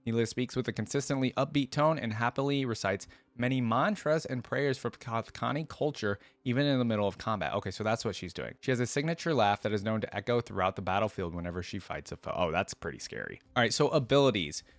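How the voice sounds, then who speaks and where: A man's voice: mid-range voice, animated, fast, hardly any pauses, no echo. A man hosting a reaction video, casually reading aloud and musing with his own commentary.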